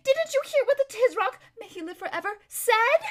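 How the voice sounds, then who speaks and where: A woman voicing a silly, frightened young noblewoman in a storybook, with a squeaky, whimpering, tearful, melodramatic delivery. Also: big pitch swings, a little slow, sharp tone, exaggerated voice. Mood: panicked, stammering.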